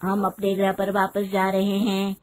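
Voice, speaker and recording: A woman's voice: medium pitch, completely flat delivery, measured pace, heavy background noise, natural voice. A woman voicing a bewitched village man in a children's story, chanting as if in a trance. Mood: entranced.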